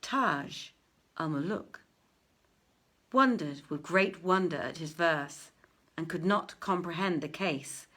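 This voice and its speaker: A woman reading an old tale as a storyteller, with theatrical, deliberate and expressive literary narration. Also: on the low side. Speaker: storyteller, reader.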